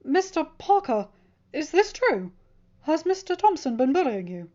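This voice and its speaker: A woman voicing a stern male school principal with a firm, formal, measured manner in a story. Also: sits high, sing-song, mellow, lo-fi sound, pitched above the performer's own voice. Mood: concerned.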